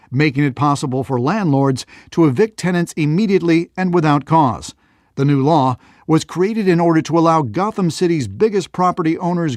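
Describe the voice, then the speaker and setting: A man's voice: a little high, darkish tone, dry recording, clean studio sound, lightly acted. A man voicing a glib, polished television news presenter in a video game, with wry emphasis. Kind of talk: broadcast news reading, video game acting.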